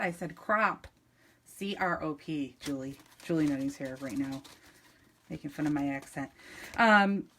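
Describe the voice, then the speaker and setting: A woman's voice: mid-range voice, fairly bright tone, slight background noise. A middle-aged woman with an upstate New York accent, chatting enthusiastically through a live craft demonstration with frequent ums and restarts. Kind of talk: live-streamed craft demo.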